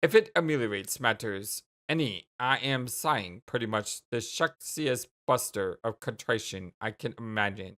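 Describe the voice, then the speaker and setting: Woman voicing a folksy, rambling, low-pitched young man. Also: big pitch swings, moderate pace. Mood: contrite. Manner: wordy, old-fashioned folksy slang. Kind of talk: dramatic dialogue reading.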